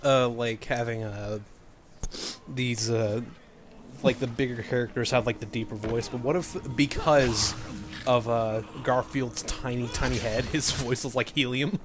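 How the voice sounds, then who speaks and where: A man co-commentating in casual, joking banter with halting, rambling riffs. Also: mid-range voice, steady tone. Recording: faintly noisy home gaming recording.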